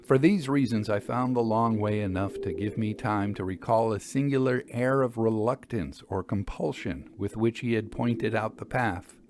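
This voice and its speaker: A man reading literary narration as a storyteller, with measured, deliberate phrasing. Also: lowish voice, noisy background. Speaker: storyteller, podcast host.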